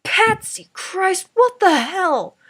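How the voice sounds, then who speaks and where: A woman's voice: extremely high pitch, big pitch swings, slow, fairly bright tone, pitched above the performer's own voice, full character voice. A woman voicing a frail, volatile old grandfather in a dramatic story reading, given to outbursts and broken pleading. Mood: shocked anger. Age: older.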